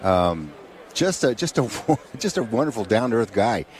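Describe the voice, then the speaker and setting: Friendly co-host who stumbles over words. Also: male voice, fairly low pitch, very animated, measured pace. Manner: stumbling restarts, friendly, reads sponsor lists. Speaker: sports radio co-host. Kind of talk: casual sports talk radio banter. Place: broadcast booth on a busy event radio row.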